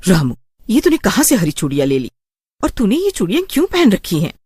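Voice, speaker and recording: Woman playing an anxious village mother, raised pitch. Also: huge pitch swings, brisk, darkish tone, slightly rough. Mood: alarmed. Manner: urgent, pleading. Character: anxious, protective village mother of a young son.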